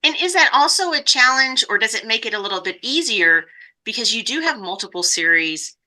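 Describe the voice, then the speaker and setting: A woman's voice: fairly high pitch, lively intonation, fairly quick, pushed and bright, rough recording. A woman hosting a podcast, talking conversationally as she interviews a guest. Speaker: podcast host.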